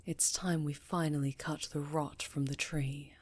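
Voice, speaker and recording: A woman playing a stern, commanding witch who leads a coven, speaking formally and with measured authority in an ASMR fantasy roleplay. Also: low voice, fairly even intonation, slightly rough. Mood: grave, measured. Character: stern, commanding female high enchantress leading a witch coven.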